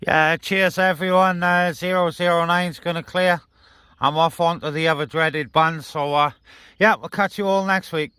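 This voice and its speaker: Male CB operator and vlogger, sharp bright tone, casual outdoor delivery, slight background noise. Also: on the high side, steady tone, normal speed. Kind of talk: casual chat on CB radio. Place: outdoors on a hilltop, light wind.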